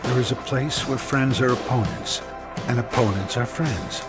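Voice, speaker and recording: A man giving a dramatic, measured ad voice-over. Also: medium pitch. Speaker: ad narrator. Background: loud music.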